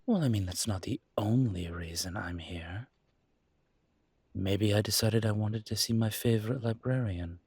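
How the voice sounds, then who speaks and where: A man playing a charming, teasing mafia boss who flirts politely in an intimate roleplay audio drama, with playful pauses. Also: lowish voice, darkish tone, breathy edge, no echo. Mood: smooth, persuasive.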